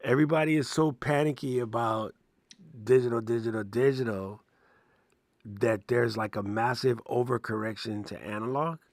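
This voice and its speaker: A man's voice: medium pitch, normal speed, no room sound, clean studio sound. A man co-hosting a podcast, chatting casually and playfully with jokes. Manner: joking, playful, animated bursts. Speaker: podcast co-host. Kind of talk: casual live podcast chat.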